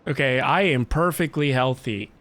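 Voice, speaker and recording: A man's voice: mid-range voice, normal speed, fairly bright tone, no echo. A man chatting casually as a live game streamer, with a playful, theatrical and mock-dramatic manner.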